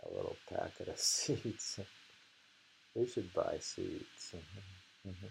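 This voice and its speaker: Male reader-host, unhurried and gravelly, casual and deliberate, discussing verse over faint room noise. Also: low voice, darkish tone, rough recording. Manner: slow, musing, often repeats lines deliberately. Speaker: host reading a poetry book aloud. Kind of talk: casual reading and discussion of poems.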